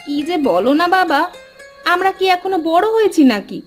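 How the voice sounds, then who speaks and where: A woman playing a girlish, whiny young village daughter in an animated folktale, speaking in a pleading way. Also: very high voice, moderate pace, soft background music. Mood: protesting.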